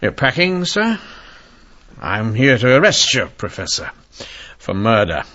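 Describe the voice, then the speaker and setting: An actor playing a brisk, dogged Victorian police inspector in an old-time radio mystery, deliberate and formally courteous. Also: male voice, medium pitch, sing-song, bright, forceful tone. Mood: firm, formal. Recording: muffled radio drama recording.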